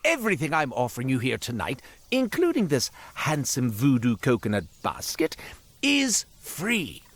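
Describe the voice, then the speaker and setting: A man's voice: medium pitch, huge pitch swings, normal speed, fairly bright tone, dry recording, heavily acted. A man playing a flamboyant devilish roadside merchant in a video game, with florid, theatrical, ingratiating sales patter. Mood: sly, showy. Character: flamboyant devilish roadside curio merchant, male, wheedling and grandiose.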